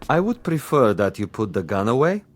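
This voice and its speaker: A man's voice: mid-range voice, very animated, moderate pace, close to an everyday voice. A man playing a cultured, menacing commissar and assassin in a drama, speaking with theatrical mock courtesy and relishing his words. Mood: mock-courteous. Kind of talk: dramatic TV-style dialogue acting.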